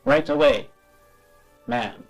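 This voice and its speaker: Little servant bot played by a man, pitched up, courteous and inviting, with faint music underneath. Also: wildly swooping pitch, takes it slowly, vocal fry, full character voice. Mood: polite, inviting. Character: small drink-serving robot, polite and smoothly inviting.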